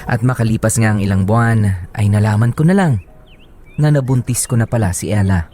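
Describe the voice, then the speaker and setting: A man's voice: lowish voice, a little fast, mellow, soft background music. A man with a Filipino accent who works as a radio host and storyteller. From Philippines. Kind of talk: dramatic story narration and casual radio banter.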